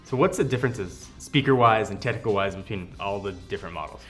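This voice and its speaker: Low-voiced man, wry casual interviewer. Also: fast talker, a bit bright. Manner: wry, joking. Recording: music playing behind the voice.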